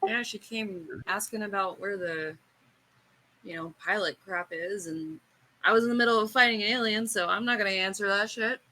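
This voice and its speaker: A woman's voice: medium pitch, moderate pace, pushed and bright, poor audio. A woman playing a tabletop roleplaying game, chatting informally and thinking aloud with questions. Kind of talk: casual chat.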